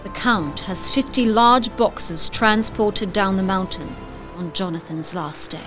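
A woman's voice: medium pitch, a little slow. Woman announcer over prominent music. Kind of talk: scripted recap narration.

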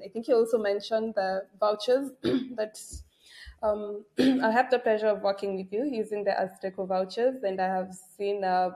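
A woman's voice: medium pitch, a little mellow. A woman working as a correspondent, interviewing a guest with hesitant questions full of fillers. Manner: hesitant, many fillers, laughs easily.

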